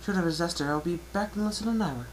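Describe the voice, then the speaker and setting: Man acting ladylike pony shopkeeper, high falsetto-leaning pitch, dramatic, fussy, genteel, faint noise. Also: very fast, natural voice. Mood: brisk, reassuring. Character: refined, ladylike female pony boutique owner. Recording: noisy amateur home recording.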